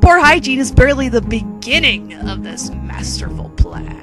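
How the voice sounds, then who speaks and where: High-voiced woman giving a sassy dramatic reading. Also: sing-song, normal speed. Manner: theatrical, sassy, bossy asides to the listener. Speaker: narrator reading own humorous fan fiction. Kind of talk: dramatic reading of comic second-person story. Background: quirky music bed.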